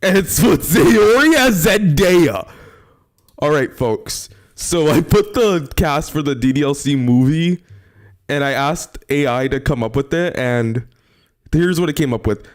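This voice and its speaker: A man's voice: on the high side, animated, measured pace. A man giving casual, amused live reaction commentary, informal and thinking aloud. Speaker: reactor, streamer.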